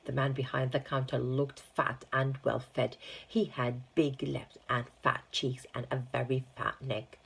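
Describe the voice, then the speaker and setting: Low, husky woman reading a story. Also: little pitch movement, measured pace, few pauses. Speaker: teaching assistant reading aloud. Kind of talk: children's storybook narration.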